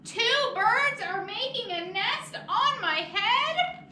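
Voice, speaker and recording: A woman voicing an anxious, exasperated elephant in a children's picture book, with drawn-out, incredulous exclamations. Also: extremely high pitch, lively intonation, bright, forceful tone, pitched above the performer's own voice, heavily acted. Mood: exasperated disbelief. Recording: echoey, noisy room, far from mic.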